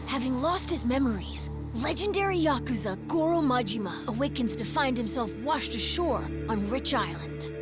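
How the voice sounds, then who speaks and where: A woman's voice: high-pitched, expressive, normal speed. A woman voicing a spirited young shipmate who tells a pirate tale dramatically in a video game. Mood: earnest narration. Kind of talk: scripted narration in a video game. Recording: loud music behind the voice.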